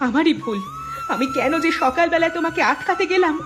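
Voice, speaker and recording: A woman's voice: extremely high pitch, brisk. A woman playing a poor, aggrieved village wife in a cartoon, plaintive and emotional. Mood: sorrowful. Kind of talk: cartoon character dialogue. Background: clear music.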